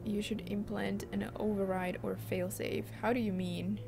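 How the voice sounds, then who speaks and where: A woman with a Finland-Swedish accent talks through her choices as she streams a game, musing aloud in a chatty, unhurried way. Her voice sits at an ordinary middle pitch. Game music plays clearly behind her. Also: flat delivery. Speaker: let's play streamer. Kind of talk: casual gameplay commentary.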